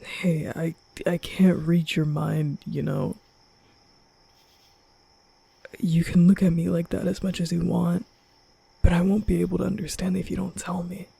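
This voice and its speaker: A man playing a nervous, kind-hearted young vampire in an ASMR roleplay, speaking softly and often whispering, with hesitant, flustered stammering. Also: high voice, little pitch movement, normal speed, no echo, exaggerated voice. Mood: flustered, incredulous. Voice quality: soft, breathy, often whispering.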